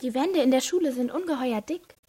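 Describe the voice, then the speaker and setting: An actress playing an earnest teenage daughter, eager to explain, in a radio crime drama. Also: female voice, high voice, fairly quick, dark tone, breathy edge.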